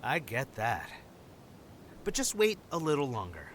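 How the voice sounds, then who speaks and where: An actor playing a cocky, playful undercover operative in an audio drama, with a theatrical, teasing delivery. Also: male voice, medium pitch, wildly swooping pitch, fairly bright tone, slight background noise. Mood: teasing, upbeat. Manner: theatrical, joking, drawn-out delivery.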